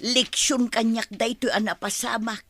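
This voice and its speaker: A woman's voice: on the high side, fairly even intonation, moderate pace, fairly bright tone. A woman with an Ilocano accent playing a plain-spoken, struggling village wife in a radio drama. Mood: chastened.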